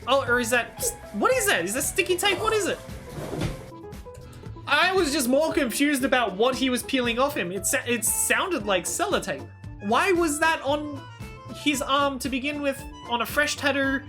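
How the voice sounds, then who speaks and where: A woman's voice: sits high, lively intonation, normal speed, over music. A young woman chatting casually as she reacts to clips, rambling and restarting, breaking into surprised exclamations. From Australia.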